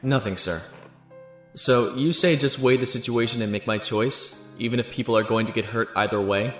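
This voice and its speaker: A man's voice: medium pitch, normal speed, lightly acted. A man playing a troubled, dutiful young prisoner in an audio drama, subdued and hesitant. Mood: conflicted. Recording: echoing room with faint music.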